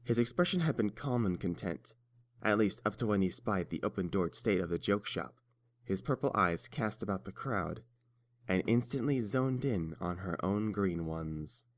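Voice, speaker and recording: A man's voice: fairly low pitch, animated, moderate pace. A man reading scripted story narration as the narrator of a fan fiction tale.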